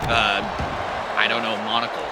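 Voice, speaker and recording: A man doing comedic live play-by-play commentary for a wrestling match, rambling through jokes with lots of fillers and bursting into excited calls. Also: on the low side, moderate pace, loud music behind the voice, rough recording. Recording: loud crowd and noise behind.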